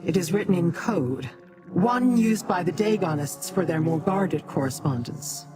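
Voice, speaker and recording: A game actor voicing a haughty, imperious demonic being who talks down to mortals in formal, measured speech. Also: mid-range voice, lightly acted. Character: haughty, imperious Daedra ally, scornful of mortals. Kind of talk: scripted video game dialogue. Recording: music behind the dialogue.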